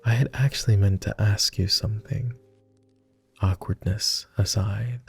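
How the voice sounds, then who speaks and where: A man playing a tender, easily flustered vampire lord with formal phrasing and a soft, gravelly, breathy voice, in an intimate ASMR boyfriend roleplay. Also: on the low side, fairly even intonation, a little slow, soft background music, full character voice. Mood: gently inviting. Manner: hesitant, formal old-fashioned phrasing.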